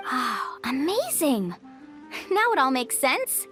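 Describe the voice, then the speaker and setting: A woman's voice: high voice, wildly swooping pitch, takes it slowly, a bit bright, soft background music, exaggerated voice. A woman playing a friendly, upbeat young tribeswoman adventurer in a video game, talking casually and thoughtfully. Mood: realizing, pleased.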